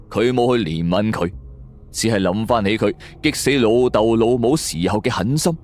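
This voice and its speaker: Male audiobook narrator, fairly deep register with expressive melody, telling crime suspense in everyday colloquial style. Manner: colloquial storyteller delivery. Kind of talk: dramatic suspense story narration in first person.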